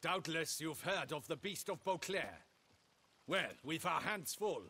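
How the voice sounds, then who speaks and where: A dutiful guard captain in a fantasy game. His voice is highish and forceful, brisk and formal, with faint noise behind it. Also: expressive, full character voice. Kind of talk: video game voice acting.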